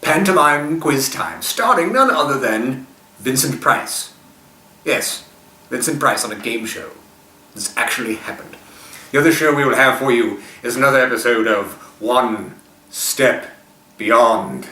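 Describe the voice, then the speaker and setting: A man's voice: mid-range voice, animated, measured pace, sharp tone. A man giving a theatrical, mock-ominous scripted introduction as the host of a horror show. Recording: roomy, echoing, distant from mic.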